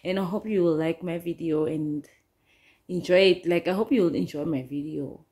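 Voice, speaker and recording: A woman's voice: on the low side, normal speed, mellow. A young woman chatting loosely to camera as a vlogger, rambling a little as she talks. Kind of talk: casual chat to camera. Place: small room indoors. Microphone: phone camera held close.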